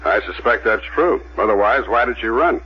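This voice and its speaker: A steady male police detective, played by an actor, speaks in a lowish voice. He is measured and self-assured, terse in his questions and narration. It sounds like a dull vintage radio drama with faint noise. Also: close to an everyday voice. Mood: matter-of-fact, assured. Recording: dull, noisy vintage 1970s radio recording.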